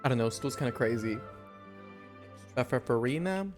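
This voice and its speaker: A man's voice: medium pitch, expressive, moderate pace, soft background music. A man casually commenting on a game as he streams, making slangy, joking asides.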